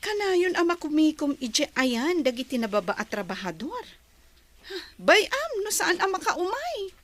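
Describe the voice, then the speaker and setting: A woman's voice: high voice, sing-song, unhurried, fairly bright tone, no echo, heavily acted. An Ilocano-accented woman playing a gossipy, resentful office worker in a radio drama. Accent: Filipino (Ilocano).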